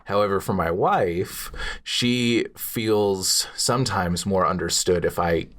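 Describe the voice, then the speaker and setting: A man in his twenties co-hosting a casual podcast, talking in a thoughtful, measured way and slowing down on key words. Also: low-pitched, fairly bright tone. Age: 20s. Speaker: podcast co-host.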